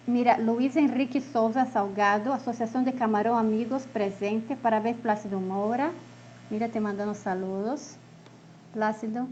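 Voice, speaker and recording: A woman with a Spanish accent hosts a live online interview in a chatty way, tagging her sentences with little questions. Her voice sits a bit high. The sound is dull and lo-fi, with faint noise underneath. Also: a little mellow. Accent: Spanish-speaking, mixing Spanish into Portuguese. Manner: chatty, adds tag questions. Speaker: interviewer host.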